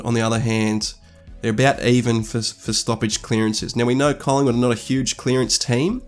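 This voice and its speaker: A man, stuffy from a cold, talking through football statistics in a measured, thoughtful way with fillers and restarts. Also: mid-range voice. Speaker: sports analyst. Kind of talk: scripted-ish stats commentary over slides.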